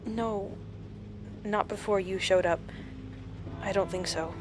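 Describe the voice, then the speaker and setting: A woman acting in an audio drama as a shaken survivor recounting a supernatural encounter in slow, halting storytelling. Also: mid-range voice, steady tone, background noise, close to an everyday voice. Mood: guarded.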